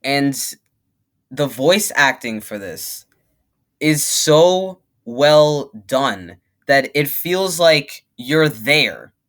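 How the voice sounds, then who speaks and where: Young man, reaction video host, medium pitch, casual, emphatic, rambling, repetitive for stress. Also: expressive, drawn-out words, a bit bright. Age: young adult.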